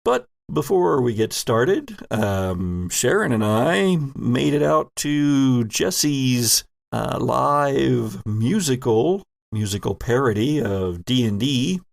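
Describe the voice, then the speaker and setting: A man narrates a horror tabletop game as its game master, in a theatrical and deliberate way. His voice is slow, with a crackly, gravelly edge, and the sound is very dry. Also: mid-range voice, animated, no room sound. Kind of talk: dramatic narration for an actual-play horror audio drama.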